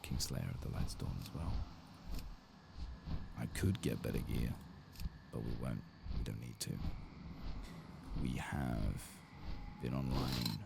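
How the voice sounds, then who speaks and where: A man giving hushed, soft-spoken ASMR commentary as he plays through a game, at times close to a whisper. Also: very deep voice. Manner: hushed, sometimes slipping into whisper. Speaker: streamer hosting a game playthrough. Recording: clear background noise and game ambience.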